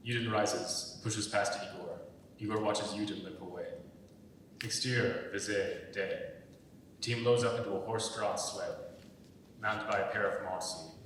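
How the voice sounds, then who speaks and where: A man's voice: fairly even intonation, moderate pace, a bit bright. A young adult man reading stage directions as scripted narration for a dramatic reading. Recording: very echoey, distant, rumbling background noise.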